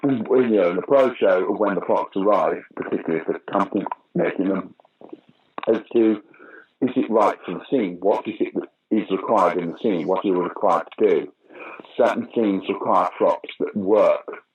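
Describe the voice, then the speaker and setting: Husky older British man telling anecdotes. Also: low-pitched, moderate pace, highs cut off. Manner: storytelling, animated anecdotes. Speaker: interview guest, pantomime dame actor. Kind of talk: casual anecdotal interview.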